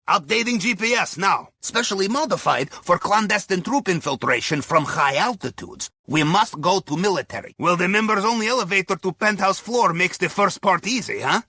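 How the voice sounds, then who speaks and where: A wry, upbeat Russian submarine aide guides players through a video game heist. His voice is bright and husky, recorded very dry and close. He speaks clipped, article-dropping English in a thick Russian accent, with deadpan humor. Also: high-pitched, no room sound, heavily acted. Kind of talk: scripted video game mission dialogue.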